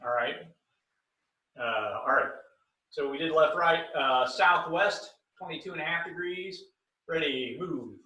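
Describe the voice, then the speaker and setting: A man leads a martial arts drill over a video call and calls out each move at an unhurried pace. His voice is bright and a bit higher than most men's. He sits back from the microphone in an echoey room. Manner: calls out commands, relaxed and explanatory. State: bothered by low back pain. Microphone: computer mic on a video call. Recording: roomy video call audio from a distance.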